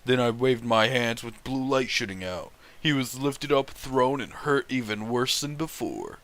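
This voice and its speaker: A man's voice: medium pitch, animated, unhurried, fairly bright tone. A man casually reading fan fiction aloud and mocking it with sarcastic, sweary, amused commentary.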